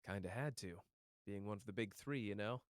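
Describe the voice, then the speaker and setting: A man's voice: on the low side, brisk, close to an everyday voice. Cocky young male sorcerer played by a man, sardonic and slangy, protective clan head for anime roleplay audio; long reverb. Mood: subdued, tender. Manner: taunting, sardonic, casual and slangy. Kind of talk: character roleplay audio drama addressed to the listener.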